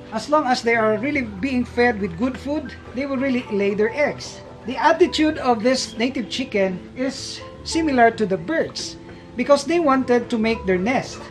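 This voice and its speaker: Man with a high voice, middle-aged, upbeat farm tour, outdoors, faint music and clucking behind. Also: rough recording. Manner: upbeat, explaining to viewers. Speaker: farm vlogger. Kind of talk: casual tour narration. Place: outdoor farmyard and bamboo coop.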